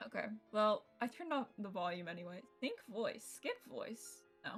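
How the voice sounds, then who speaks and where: Young female game streamer, mid-pitched, informal and sweary chat, music in the background. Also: sing-song, moderate pace, fairly bright tone. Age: young adult. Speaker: livestreamer. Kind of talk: casual chat to stream viewers while setting up a game. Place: indoors at home computer setup. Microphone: streaming mic with noise gate.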